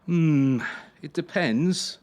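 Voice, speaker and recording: An actor playing a cold, patronising gymnastics coach in a radio drama, officious and insinuating. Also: male voice, fairly high pitch, extremely slow, a little mellow. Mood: falsely concerned.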